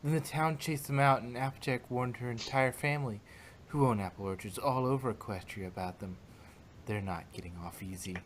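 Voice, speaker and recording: A man's voice: medium pitch, a little fast, noisy background, close to an everyday voice. A man voicing an earnest, friendly young female unicorn pony in a fan fiction reading. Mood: explaining.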